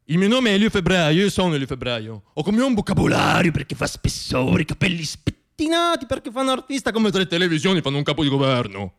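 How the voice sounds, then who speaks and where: A man's voice: high voice, big pitch swings, fast, few pauses. A man tells stories as a stage monologist. His delivery is theatrical and comic, with a wry, self-deprecating bent. He likes to repeat words for effect. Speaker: monologue storyteller and stage performer.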